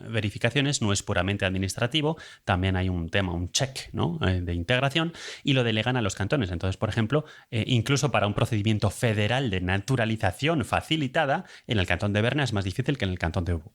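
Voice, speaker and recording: Low-voiced man with a Spain Spanish accent. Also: expressive, quick pace. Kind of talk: casual informal explainer monologue.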